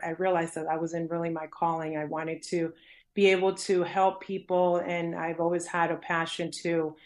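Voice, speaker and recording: A woman's voice: fairly low pitch, level tone, normal speed, a little mellow. A woman born in Colombia, a dentist guest, answering interview questions in a friendly way with many fillers and restarts. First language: Spanish.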